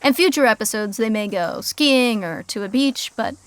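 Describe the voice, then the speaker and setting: A woman narrates a comedy in a dry, deadpan way. Her voice sits a little high. The sound is close and dead, with no room around it. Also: moderate pace, clean tone, no room sound.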